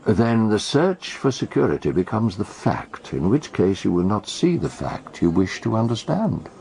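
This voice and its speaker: Teasing cryptic detective mentor in a radio play, gravelly, low, talks in measured little maxims. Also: male voice, sing-song, darkish tone, a little hiss or hum. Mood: probing, philosophical. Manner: measured, aphoristic, teasing, Socratic. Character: cryptic, needling male tea-house detective and mentor. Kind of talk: radio drama acting.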